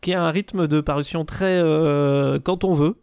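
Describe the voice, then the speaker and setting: A man's voice: fairly high pitch, fairly even intonation, measured pace, vocal fry, a little mellow, clean tone, dry recording. Offhand man in a dry recording. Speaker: podcast host appearing as a guest. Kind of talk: casual chat about own show.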